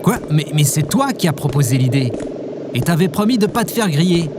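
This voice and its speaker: A man's voice: a little high, sing-song, a little fast, very noisy. A man playing an anxious, well-meaning cat owner in an audio drama, informal and sheepish. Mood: exasperated. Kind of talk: casual audio drama dialogue.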